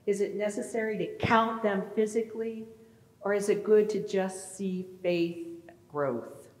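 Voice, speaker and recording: A middle-aged woman pastor preaching a sermon in a large church sanctuary, animated and questioning her congregation. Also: medium pitch, unhurried. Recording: echoey church with long reverb.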